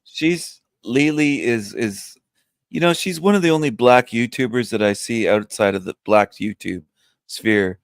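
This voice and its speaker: A man's voice: medium pitch, a little slow, dry recording, studio quality. An older man hosting a casual livestream, rambling and joking with a strong voice that sounds younger than his age. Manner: rambling, joking, laughs often. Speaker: livestream host. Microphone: webcam setup, camera off.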